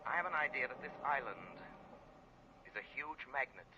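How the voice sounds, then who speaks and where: An actor playing a commanding, self-assured, mysterious crime-fighter in an old radio adventure. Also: male voice, medium pitch, expressive, normal speed, lightly acted. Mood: grave, reasoning. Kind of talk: 1940s radio adventure acting. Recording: muffled, noisy old radio recording.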